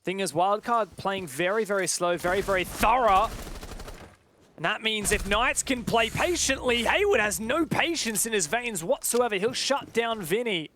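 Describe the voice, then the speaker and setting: A man doing live esports play-by-play commentary, getting excitable on big plays. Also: high-pitched, moderate pace, a little hiss or hum.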